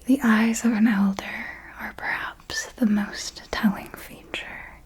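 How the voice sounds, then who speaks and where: Whispering, slow-paced vampire mentor played by a woman. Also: fairly high pitch, steady tone, heavily acted. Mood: hushed, instructive. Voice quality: breathy, hushed whisper. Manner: slow, deliberate, refined, instructive. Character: elegant, knowing female vampire mentor, patient and refined. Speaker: ASMR roleplay performer. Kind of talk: whispered ASMR roleplay, scripted monologue.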